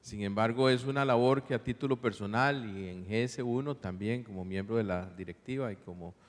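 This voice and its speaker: A man with a Costa Rican accent speaking as a conference panelist in a measured, semi-formal way, with pauses and repetitions. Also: on the low side. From Costa Rica. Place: conference hall. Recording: reverberant conference hall sound.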